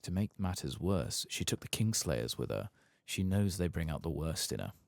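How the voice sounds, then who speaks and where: Guarded fantasy game spymaster, read by a guy, soft, really low and gravelly. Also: sing-song, moderate pace, no echo, clean studio sound, full character voice. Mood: grim, businesslike. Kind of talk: soft-spoken reading of game quest text.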